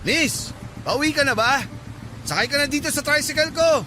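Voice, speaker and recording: An actor playing a deceitful, menacing Filipino tricycle driver in a radio drama. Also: male voice, very high voice, expressive, moderate pace, sharp tone, slight background noise, heavily acted. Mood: coaxing. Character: menacing male tricycle driver, deceitful knife-wielding abductor.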